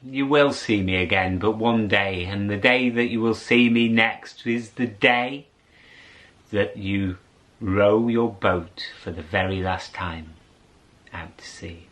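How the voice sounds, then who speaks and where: A man telling a story aloud in a theatrical way, with dramatic pauses and drawn-out words. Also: fairly low pitch, fairly bright tone. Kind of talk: animated oral storytelling to an audience.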